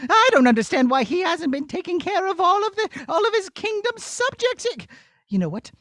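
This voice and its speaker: A man's voice: very high-pitched, big pitch swings, fairly quick, bright, forceful tone, no echo, studio quality, exaggerated voice. A man voicing a headstrong, sheltered young princess with a breezy, demanding manner in a children's puppet show. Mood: puzzled, determined.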